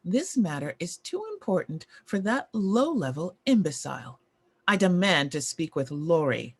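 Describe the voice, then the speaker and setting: A woman's voice: fairly low pitch, very animated, a little slow, breathy edge, heavily acted. A woman playing a haughty, demanding former child star in a table read of a screen drama. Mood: haughty indignation.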